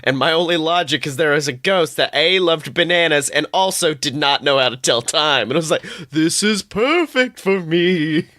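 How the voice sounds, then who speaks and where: A man co-hosting a podcast in casual comedic banter, telling anecdotes in an animated, exclamatory, sweary way. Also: fairly high pitch, moderate pace, hardly any pauses, no echo, studio quality. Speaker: podcast co-host.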